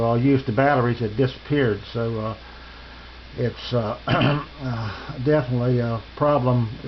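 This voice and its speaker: Middle-aged man, slightly low voice, hesitant hobbyist demo, throat clearing, dull noisy home recording. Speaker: amateur radio hobbyist reviewer. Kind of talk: casual unscripted product demonstration. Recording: dull lo-fi sound with hiss.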